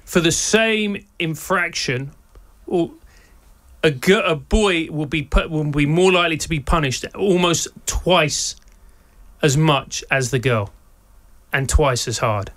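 A man's voice: a little high, takes it slowly. South London man telling wry stories. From England. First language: Spanish. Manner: lively anecdotal delivery with wry humour and laughs. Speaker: guest, podcast co-host and former teacher. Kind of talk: conversational interview storytelling.

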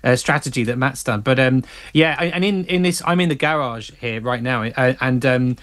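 A man with a mid-pitched voice joins a radio chat from his garage at home. He talks hesitantly, stopping and restarting often. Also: moderate pace, fairly bright tone. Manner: hesitant, lots of fillers and restarts. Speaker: radio guest. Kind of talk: casual chat.

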